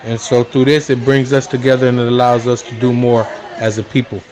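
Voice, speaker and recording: Man, earnest, unhurried, lots of fillers, mid pitch. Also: flat delivery, crowd noise, poor audio. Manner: earnest, unhurried, frequent fillers like "you know" and "um". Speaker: interviewee, spiritual practitioner. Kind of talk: casual conversational explanation.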